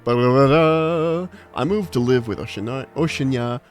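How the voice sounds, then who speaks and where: A man's voice: mid-range voice, moderate pace, soft background music. Chatty man streaming. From Inland Empire, California, USA. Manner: chatty, laughs often, reads chat aloud. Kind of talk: casual live-stream chat.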